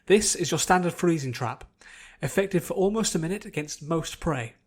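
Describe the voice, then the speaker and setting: A man's voice: fairly high pitch, lively intonation, normal speed, pitched above the performer's own voice. Man putting on a hearty dwarf hunter. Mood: instructive. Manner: hearty, reassuring, folksy. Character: hearty, jovial male dwarf hunter trainer.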